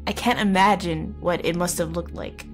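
A woman playing a frightened teenage girl, narrating a horror audio drama in a halting, confessional, conversational way. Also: on the low side, fairly even intonation, soft background music, lightly acted. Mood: shaken. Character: terrified teenage girl recounting a horror she fled.

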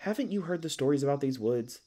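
A man's voice: medium pitch, animated, quick pace, mellow, close to an everyday voice. A man playing a flustered, skittish young elf park ranger in an audio roleplay, stammering and awkward with goofy folksy exclamations. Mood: exasperated, frantic.

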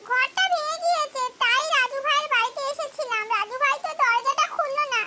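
A woman's voice: very high-pitched, a little fast, background noise. A woman voicing an anxious, tender mother bird in a cartoon, pleading and plaintive. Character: anxious mother bird, tender with her chicks, helpless in a storm. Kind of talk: cartoon voice acting.

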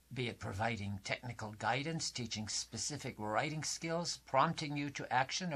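An older man in his seventies delivers a scripted lesson like a seasoned writing teacher. His voice sits at a medium pitch and rises and falls in a lively way. He phrases things formally and deliberately. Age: 70s. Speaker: writing coach and teacher, host. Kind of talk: scripted instructional masterclass presentation.

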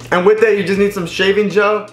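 A man's voice: high voice, fairly quick, roomy sound, soft background music. A young man in his twenties, a barber, casually showing his tools in a living room to a fixed camera, with many fillers and restarts. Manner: relaxed, filler-heavy, restarts often. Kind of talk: casual tutorial. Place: indoors, living room with TV and couch.

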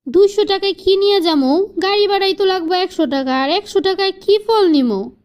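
Cartoon casting: woman as aggrieved young wife, very high voice, plaintive and emphatic, pleading but defiant. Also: brisk, muffled recording. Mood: aggrieved, earnest. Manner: plaintive, earnest, emphatic complaining. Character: frustrated young wife, pleading then defiant about independence. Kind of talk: cartoon family drama dialogue.

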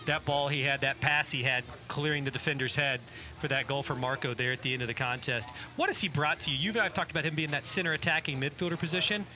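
A young man interviewing a coach after a game on a headset mic, in a brisk, upbeat broadcaster manner. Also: a little high, fairly even intonation, sharp tone, soft background music. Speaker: team media interviewer. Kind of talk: post-game interview questions. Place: indoor media room before a backdrop.

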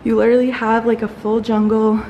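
A woman's voice: fairly high pitch, level tone, brisk, lo-fi sound, a little hiss or hum. A young woman giving an enthusiastic, chatty tour of a holiday villa, filming herself on a phone held at arm's length and filling her talk with "like.". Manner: enthusiastic, chatty, frequent "like" fillers. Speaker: travel vlogger. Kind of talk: casual house tour vlog. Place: holiday villa, indoors and on open terraces.

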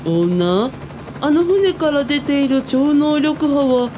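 A woman playing a goofy, lovestruck young male helicopter pilot in a comic radio drama, excitable and comically polite. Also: a little slow. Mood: eager. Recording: noisy helicopter ambience behind voice. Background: helicopter rumble.

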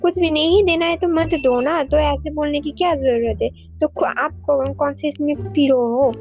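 A woman's voice: high voice, fairly even intonation, moderate pace, a little mellow, highs cut off, soft background music. A young woman on a game voice chat, pushy and teasing, then indignant as she argues with a friend. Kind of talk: casual game-lobby chat.

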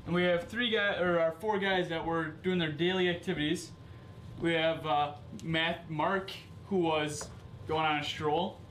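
A man's voice: fairly high pitch, fairly even intonation, a little slow, a bit bright, slight background noise, poor audio. A teenage boy explaining a toy scene, with hesitant fillers and short agreeing replies. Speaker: student answering. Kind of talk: casual explaining. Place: basement room.

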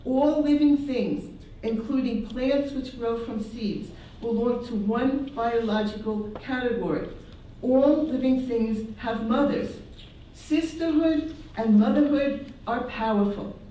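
A woman reads her scholarly paper at an unhurried pace, with a soft, mellow tone and occasional restarts. She is picked up from across an echoey classroom. A faint hum of room noise sits behind her. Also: fairly high pitch, far from the mic. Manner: slow, measured reading with restarts. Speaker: symposium presenter. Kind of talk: academic paper reading. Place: university classroom.

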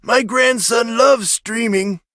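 A man's voice: very high voice, slow, a bit bright, no echo, clean studio sound, full character voice. A man playing a cheery, chatty, rambling contestant in his eighties for a video game, with theatrical delivery. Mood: cheerful, rambling. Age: 80s, as played. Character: cheery, chatty 86-year-old contestant grandparent. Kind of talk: video game character acting.

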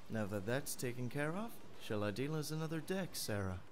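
A man's voice: mid-range voice, big pitch swings, brisk, a little hiss or hum, natural voice. A man playing a smug, intellectual teenage boy in an audiobook, with a formal, condescending manner. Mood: cool, assured. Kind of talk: audiobook character acting.

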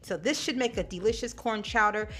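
A woman's voice: mid-range voice, brisk, fairly bright tone, faint music behind. A woman in her thirties hosting a casual home cooking video, chatty and upbeat, talking straight to viewers in her kitchen. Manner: upbeat, chatty, talks to viewers as "you guys". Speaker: cooking vlogger, host. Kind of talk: casual cooking demo chat.

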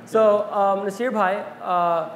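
A young man giving an earnest talk on stage in a large hall, telling a story from his notes and pausing with fillers, then interviewing a guest. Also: high-pitched, fairly even intonation, slight background noise. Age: young adult. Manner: earnest, reflective, pauses with fillers. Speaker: speaker and interviewer. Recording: roomy hall reverb, faint ambience.

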